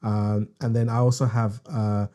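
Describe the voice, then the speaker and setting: A man's voice: fairly low pitch, level tone, unhurried, mellow. A man from Bangladesh, co-hosting a podcast in a laid-back, slangy way. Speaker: podcast co-host. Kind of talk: casual chat.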